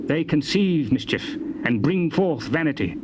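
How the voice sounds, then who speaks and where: An actor playing a stern, accusing biblical elder, declaiming rebukes in a theatrical scripture dramatisation. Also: male voice, fairly high pitch, lively intonation, unhurried. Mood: stern warning. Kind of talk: dramatised King James scripture, theatrical recitation. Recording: noisy old cassette tape.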